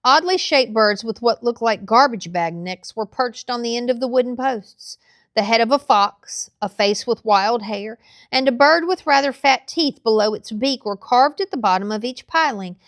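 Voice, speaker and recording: A woman narrating a children's novel aloud in a measured, expressive storytelling style. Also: fairly high pitch, dry recording, clean studio sound.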